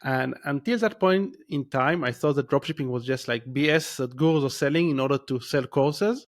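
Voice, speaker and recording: A man's voice: mid-range voice, moderate pace. A man in his thirties with an Israeli accent, a podcast guest telling his story in a rambling way, with many restarts and fillers. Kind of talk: casual interview storytelling.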